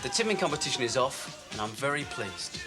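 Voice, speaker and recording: A man's voice: mid-range voice, lively intonation, fairly quick, music in the background, lightly acted. An actor playing a chatty, joking male radio DJ in a TV drama, slipping from teasing on-air patter to firm warnings. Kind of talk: 1980 TV drama acting.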